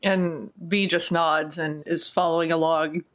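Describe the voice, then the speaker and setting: A woman's voice: low voice, steady tone, a little slow, a bit bright. A woman playing a tabletop game, casually describing what her character does.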